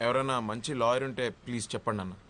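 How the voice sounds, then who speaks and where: A man's voice: mid-range voice, rapid-fire, fairly bright tone, a little hiss or hum, close to an everyday voice. An actor playing an anxious young man who runs a café and begs for help for a friend. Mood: pleading. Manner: pleading, polite.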